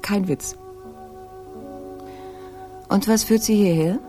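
A woman's voice: medium pitch, very animated, takes it slowly, mellow, faint music behind. An actress playing a cool, elegant woman who speaks in terse, mocking lines in a 1960s German radio drama. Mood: cool, matter-of-fact. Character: cool, elegant, teasing woman in crime story.

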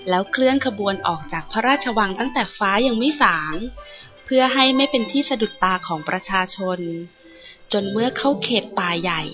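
A woman narrating a scripted storybook tale as an audiobook reader. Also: mid-range voice. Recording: dull lo-fi audio with music behind. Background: music.

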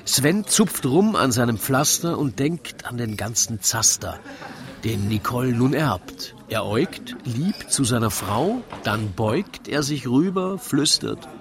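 A man reading a comic rhymed narration in a wry, theatrical, measured way. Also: mid-range voice, music in the background. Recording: lively crowd chatter behind. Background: crowd murmur.

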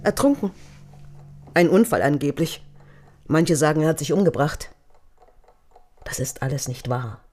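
An actress playing a determined, grieving lover in a radio crime drama, speaking in short, insistent phrases. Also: female voice, deep voice, animated, a little slow, pauses often, a little mellow, faint music behind. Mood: subdued grief. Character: determined grieving mistress seeking truth about dead lover.

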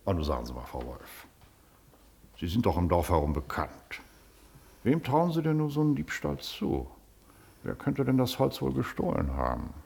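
An actor in a German radio play, playing a pompous, overbearing district official who talks in an officious, blustering, self-important way. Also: male voice, low voice, wildly swooping pitch, moderate pace, vocal fry, pauses often, darkish tone, full character voice. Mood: condescending, measured. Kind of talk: classic German radio drama acting.

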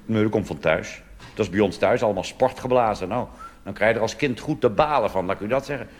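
A man's voice: fairly low pitch, fast talker, slight background noise. A Dutch man performing a chatty, wry cabaret monologue full of asides, recorded live on stage. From Netherlands. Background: audience laughter and applause.

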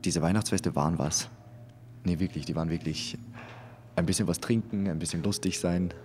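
An actor playing an effusive, awkwardly genial company boss who rambles pedantically in a radio drama interview. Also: male voice, deep voice, normal speed, darkish tone, slight background noise, lightly acted. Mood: genial, rambling.

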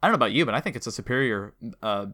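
A man's voice: mid-range voice, expressive, very fast, sharp tone. A man hosting a casual, conversational interview in an enthusiastic, supportive manner. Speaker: interview host.

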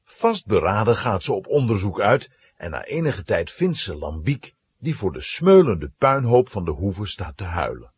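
Husky male storyteller, hugely animated. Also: on the low side, normal speed. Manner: dramatic, expressive. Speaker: storyteller narrator. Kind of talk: scripted children's story narration.

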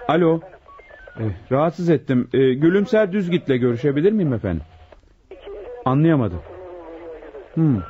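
A man's voice: a little high, animated, moderate pace, long pauses, natural voice. A man acting a deferential, earnest police deputy in a radio drama, speaking respectfully and attentively. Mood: polite, businesslike. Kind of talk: Turkish radio theatre acting. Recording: dull, lo-fi radio recording.

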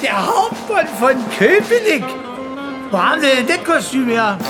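An actress playing a chatty, teasing guest at a costume ball in a radio play. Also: female voice, high voice, sing-song, normal speed, over music.

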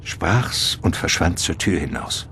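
An actor playing the ominous, dramatic narrator of a gothic radio drama. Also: male voice, deep voice, sing-song, slow pace, exaggerated voice. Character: ominous male storyteller of a gothic vampire tale. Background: music.

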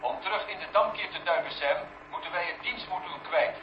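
A man's voice: fairly high pitch, fairly quick, close to an everyday voice. An actor playing a curt, skeptical, sardonic astronaut calling from his spacecraft in a radio drama. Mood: tense, irritated. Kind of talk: 1970 Dutch radio drama acting. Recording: echoey, muffled radio with hiss.

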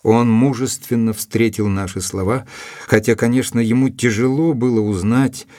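An actor playing a sober male doctor who narrates a detective story in measured, literary phrasing for an audio drama. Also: mid-range voice, fairly even intonation, darkish tone, dry recording, clean studio sound, natural voice. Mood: measured, grave. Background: music.

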